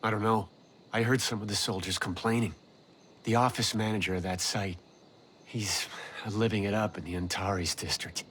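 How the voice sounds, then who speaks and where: An actor playing a shaken, anxious former prisoner in a video game cutscene, talking urgently. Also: male voice, lowish voice, steady tone, moderate pace, a bit bright, a little hiss or hum, lightly acted. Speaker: released prisoner.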